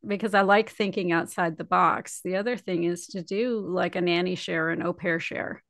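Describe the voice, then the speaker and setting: A woman's voice: on the low side, fairly even intonation, normal speed, creaky, fairly bright tone, no echo, clean studio sound. A woman hosting a podcast, talking conversationally as she interviews a guest. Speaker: podcast host.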